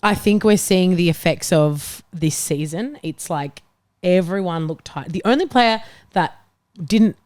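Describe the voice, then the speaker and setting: Female football panellist, lower-than-average pitch, emphatic conversational fan talk, dry recording. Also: a little slow, a little mellow, no echo. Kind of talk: casual chat, football debate.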